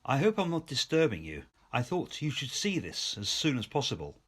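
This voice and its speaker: A dutiful Victorian police inspector in an audio drama. His mid-pitched voice is very varied in melody, and he reports in a polite, businesslike way. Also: huge pitch swings, a little fast, slightly rough. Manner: polite, matter-of-fact, reporting. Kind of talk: audio play acting.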